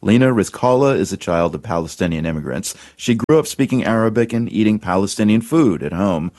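A man hosting a radio program, reading a scripted introduction in a measured, even way. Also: fairly low pitch. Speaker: radio host.

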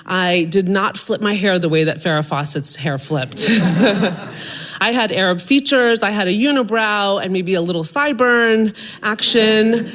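A middle-aged woman from suburban Maryland telling a funny personal story on stage, with self-deprecating humor and lively comic timing. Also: medium pitch, unhurried.

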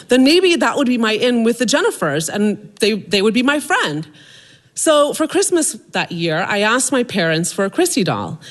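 A middle-aged woman from suburban Maryland telling a funny personal story on stage, with self-deprecating humor and lively comic timing. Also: a little high.

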